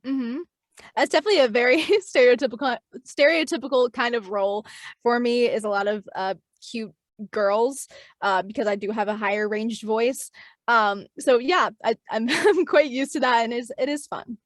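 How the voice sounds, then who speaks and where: A woman voice actress answers interview questions in a slightly high voice. She is friendly and casual, with many ums in her speech. The recording is dry and close to the mic. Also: normal speed, dry recording. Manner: friendly, light laughs, frequent ums. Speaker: voice actress guest.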